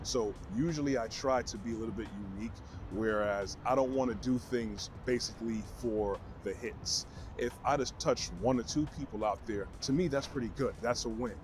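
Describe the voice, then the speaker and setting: A man from Brooklyn with a New York accent and a deep baritone voice, answering questions to camera in an earnest, motivational way. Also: over music. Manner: earnest, motivational, measured phrasing. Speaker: vlogger.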